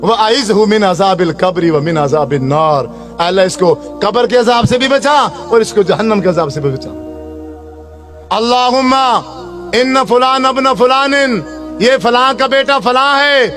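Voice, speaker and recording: High-pitched middle-aged man preaching. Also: measured pace, a bit bright, soft background music. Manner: exhorting, repetitive, rhetorical. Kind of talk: impassioned sermon with recited prayers.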